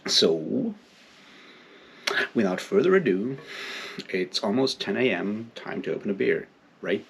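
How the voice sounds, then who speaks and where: A man giving an informal, unscripted book discussion as a video host, halting as he thinks aloud. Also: on the low side, big pitch swings, vocal fry, background noise.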